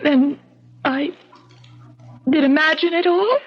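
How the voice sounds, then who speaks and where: A woman's voice: high-pitched, takes it slowly. An actress playing a distraught, insistent woman who fears for her sanity, in an emotional radio mystery style. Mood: despairing. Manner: emphatic, emotional, dramatic. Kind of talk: old-time radio mystery acting. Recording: dull vintage radio drama recording.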